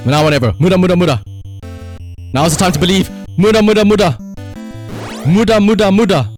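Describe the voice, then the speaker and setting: A man doing casual live game-stream commentary, swearing freely and repeating short command words. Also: sits high.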